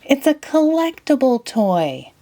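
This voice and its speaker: Woman putting on an excitable high-pitched cartoon sponge guy. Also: takes it slowly, exaggerated voice. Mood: earnest, fussy. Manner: eager, exclamatory, fussy. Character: excitable, earnest, cheerful male cartoon sea sponge.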